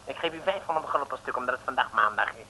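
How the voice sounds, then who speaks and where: An actor playing a wheedling, crafty Italian diamond dealer with an Italian accent in an old radio comedy. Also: male voice, medium pitch, very fast, sharp tone. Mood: pleading. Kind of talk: 1950s-60s comic radio play acting. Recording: noisy old radio recording.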